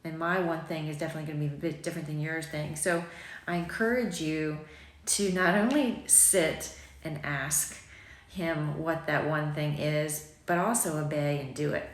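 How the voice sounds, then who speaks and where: A woman in her forties, a little raspy from just waking up, chatting casually and rambling to viewers over morning tea, filmed on a phone at arm's length in her home kitchen. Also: sits low. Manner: chatty, rambling, self-deprecating, with frequent ums. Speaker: boutique owner vlogging. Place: sunny home kitchen, indoors. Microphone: phone camera at arm's length. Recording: echoey kitchen room.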